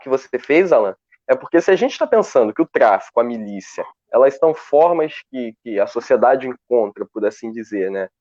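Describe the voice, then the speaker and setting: A man speaking on a live video call, talking in a lively way with many restarts as he searches for words. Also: mid-range voice, a little fast, few pauses, no room sound. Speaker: guest researcher. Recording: dull, dry lo-fi call audio.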